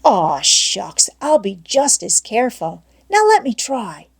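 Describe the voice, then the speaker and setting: A woman's voice: a little high, wildly swooping pitch, takes it slowly, creaky, slightly rough, heavily acted. A woman voicing an eager young boy in an audiobook, wheedling and insistent in country-boy phrasing. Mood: eager pleading. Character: eager, pleading young boy begging for a turn. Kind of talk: audiobook character voice.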